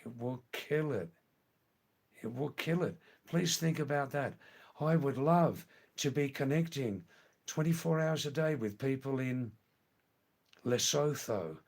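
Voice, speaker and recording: An older man hosting a live stream, casually chatting with viewers and answering their comments in a slow, rambling way that repeats words. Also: medium pitch, creaky.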